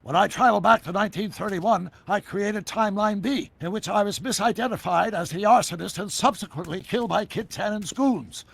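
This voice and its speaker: An actor playing an eccentric older American scientist in a video game, explaining things in a wordy, theatrical way with a gravelly voice. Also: male voice, high voice, a little fast, hardly any pauses, heavily acted. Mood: brisk lecturing. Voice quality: gravelly, husky. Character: eccentric older male scientist inventor, excitable and secretive. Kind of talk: scripted video game dialogue.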